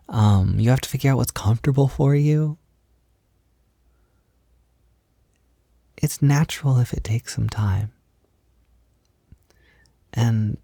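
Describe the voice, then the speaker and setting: A man playing a gentle, reassuring partner in an intimate ASMR-style roleplay, soft-spoken and soothing, with casual phrasing that often slips toward a whisper. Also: mid-range voice, creaky, dry recording, studio quality. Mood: tender, reassuring. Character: gentle, reassuring male partner doing a beginner's makeup.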